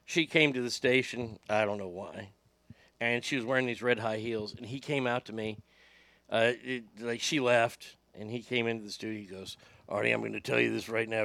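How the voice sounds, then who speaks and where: A middle-aged man with a high voice that lacks bass, telling profane, animated stories as a radio host. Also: creaky, no echo. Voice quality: high voice without much bass. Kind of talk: casual storytelling podcast.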